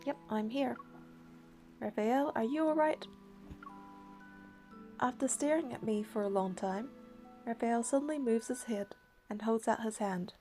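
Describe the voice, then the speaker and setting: Mellow, skeptical young game heroine. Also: female voice, a little high, unhurried, long pauses, soft background music. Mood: mildly exasperated, then soft, observing. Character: practical, skeptical young heroine narrating her own story. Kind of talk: English-dubbed game acting with first-person narration.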